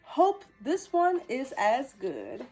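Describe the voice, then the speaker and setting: Storybook stegosaurus, played by a woman: smug, proud old dinosaur, high and leisurely. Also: wildly swooping pitch, slow pace, darkish tone, breathy edge, soft background music, exaggerated voice.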